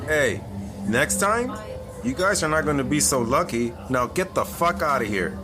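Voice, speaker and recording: A lively male voice from an actor with wide swings in pitch plays a maverick agent in a podcast drama. He is cocky, restless and brash, needling his partner. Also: normal speed, over music, lightly acted. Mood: swaggering. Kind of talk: audio drama acting.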